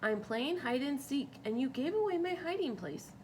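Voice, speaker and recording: A woman doing a loud, indignant jungle critter. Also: high voice, very animated, normal speed, slight background noise, pitched above the performer's own voice. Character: startled grumpy jungle animal friend.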